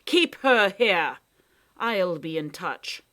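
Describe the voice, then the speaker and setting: A woman's voice: lowish voice, animated, slow, a bit bright. A woman voicing a scheming male creature who speaks casually and with mock politeness. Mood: smug. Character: smooth, scheming male creature, cheerfully menacing.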